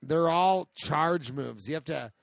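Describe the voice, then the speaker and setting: A man's voice: fairly high pitch, takes it slowly, creaky, no echo. A man co-hosting casual video game commentary, joking and swearing freely in playful banter. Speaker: co-host. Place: indoors, playing video games.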